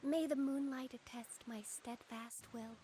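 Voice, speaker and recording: An earnest young blade heroine. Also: female voice, sits high, steady tone, measured pace, noisy background. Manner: earnest and soft-spoken, proud battle cries. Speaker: game character. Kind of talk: game character voice lines.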